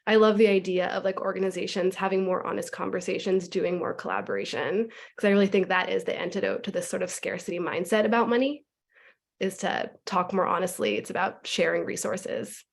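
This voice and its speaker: A woman's voice: mid-range voice, fairly quick, gravelly. A woman, a nonprofit deputy director, speaks on an online panel in an enthusiastic, conversational way, often saying "like". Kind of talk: panel remarks.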